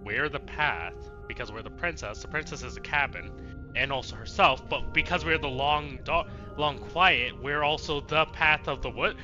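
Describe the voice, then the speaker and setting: A man's voice: a little high, normal speed, sharp tone, lo-fi sound. A man streaming a let's play, giving casual, irreverent commentary with plenty of swearing. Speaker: game streamer. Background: faint music.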